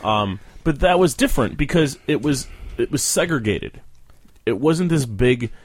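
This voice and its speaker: A man's voice: mid-range voice, lively intonation, moderate pace. A man interviewing casually on a podcast, probing and pushing back on what he hears. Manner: probing, challenging, pushes back on answers.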